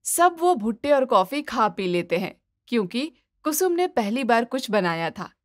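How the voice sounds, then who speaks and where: Highish-voiced woman narrating a story. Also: sing-song, moderate pace, no room sound, clean studio sound.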